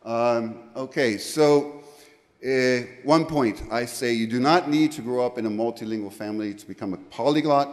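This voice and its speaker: A man's voice: mid-range voice, moderate pace. A middle-aged man from the United States giving a conference presentation into a handheld microphone, rambling and correcting himself, often checking in with "okay?". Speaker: conference speaker. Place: large lecture room, indoors. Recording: reverberant hall.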